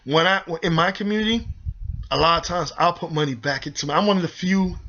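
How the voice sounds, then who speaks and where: A man on a heated vlog rant, talking fast and hard in a somewhat high, tense voice. He preaches and repeats himself, calling out to his viewers directly. The recording sounds dull and lo-fi. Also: pushed and bright. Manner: heated, preachy, repetitive, addresses viewers as y'all. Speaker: video host.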